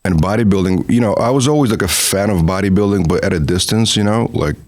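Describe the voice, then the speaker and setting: Talkative low-voiced filmmaker guest. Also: male voice, fast talker, darkish tone. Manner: talkative, full of fillers like "you know what I mean". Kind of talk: casual interview conversation.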